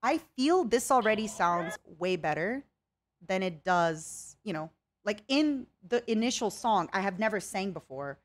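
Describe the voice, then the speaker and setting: A woman's voice: mid-range voice, animated, unhurried. A young woman streaming a casual chat with viewers, rambling and reading out chat messages. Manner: chatty, rambling, reads chat aloud.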